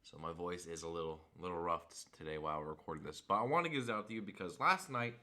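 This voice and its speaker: A hoarse young man, a frustrated fan, recapping a football game and reading off stats in a casual vlog. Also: deep voice, quick pace, sharp tone. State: hoarse from screaming.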